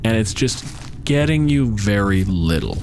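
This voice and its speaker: A man's voice: fairly low pitch, animated, unhurried, a little mellow, slight background noise. A man narrating a tabletop roleplaying game as game master, in a casual, conversational way with offhand swearing.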